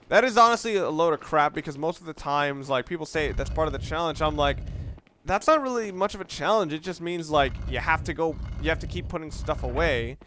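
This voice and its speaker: A man's voice: a little high, a bit bright. A man doing casual, rambling video game commentary, informal and opinionated, with some mild swearing. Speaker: let's play commentator.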